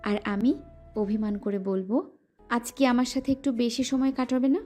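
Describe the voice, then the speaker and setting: A woman playing a playful, affectionate young wife in a romantic audio drama, teasing and pouting, with soft music underneath. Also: fairly high pitch, level tone, moderate pace, close to an everyday voice.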